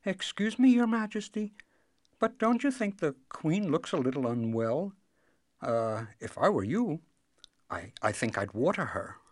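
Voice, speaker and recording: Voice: mid-range voice, big pitch swings, measured pace, dry recording, pitched above the performer's own voice. A storyteller voicing a timid, polite youngest son who speaks tentatively. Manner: tentative, hesitant.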